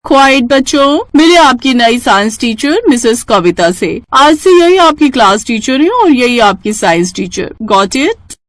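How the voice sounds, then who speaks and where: A woman's voice: fairly high pitch, very animated, measured pace, few pauses, no echo, pitched above the performer's own voice. Strict, formal school principal voiced by a woman. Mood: authoritative. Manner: formal, mixes in English phrases.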